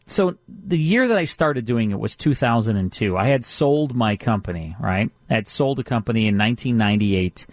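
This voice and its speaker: Middle-aged male radio host, mid-pitched voice, dry studio sound; talkative, enthusiastic, casual storytelling. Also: expressive, normal speed, hardly any pauses, no room sound. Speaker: radio show host and producer. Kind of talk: casual radio chat, reminiscing.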